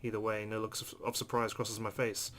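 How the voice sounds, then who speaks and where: A man's voice: fairly low pitch, level tone, a little fast, fairly bright tone. A man hosting a let's play, reading visual novel narration aloud expressively with casual asides. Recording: faint background noise.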